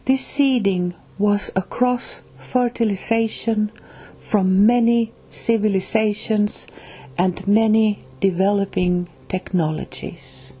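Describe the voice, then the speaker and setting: A woman with a Finnish accent reads a book aloud at an unhurried, deliberate pace, her voice soft and a little breathy. She goes word by word, now and then stumbling and starting a phrase over, and her tone stays mellow and low-key throughout. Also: fairly even intonation. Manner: careful, halting reading with restarts on hard words. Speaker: reader of a spiritual book.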